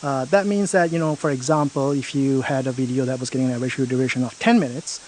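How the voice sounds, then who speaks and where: A man's voice: medium pitch, steady tone, fast, a little mellow, slight background noise, rough recording. A man in an interview explaining a product feature step by step, often checking in with "right?".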